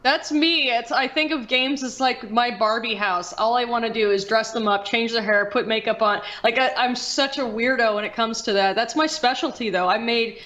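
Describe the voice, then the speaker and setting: A woman with a fairly high voice hosts a casual podcast chat. She speaks in an effusive, informal way, with plenty of slang. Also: moderate pace, few pauses, fairly bright tone. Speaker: podcast host.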